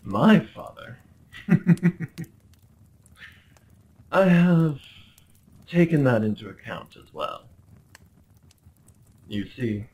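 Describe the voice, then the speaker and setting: A man's voice: fairly low pitch, a little mellow. A man playing a young, obsessive medieval prince in a dramatic ASMR roleplay, speaking in courtly, theatrical phrases with a possessive, menacingly tender undertone. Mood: smug, scheming. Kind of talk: dramatic ASMR roleplay monologue addressed to the listener.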